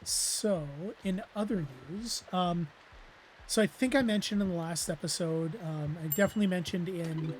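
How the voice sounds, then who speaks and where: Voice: mid-range voice, darkish tone, slight background noise, low-quality recording. A let's play host giving casual gameplay commentary, rambling with lots of fillers and self-deprecating asides, voice weakened and strained from losing it.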